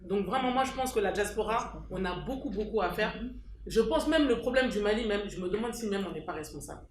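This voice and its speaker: A woman's voice: mid-range voice, lively intonation, quick pace, reverberant, a little hiss or hum. A woman speaking up at an association meeting, emphatic and repeating her key points. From France. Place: meeting hall.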